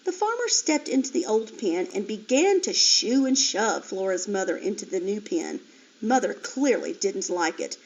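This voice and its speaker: A woman teacher reading a children's chapter book aloud with expressive, lively storytelling, on a dull lo-fi recording. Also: mid-range voice, moderate pace.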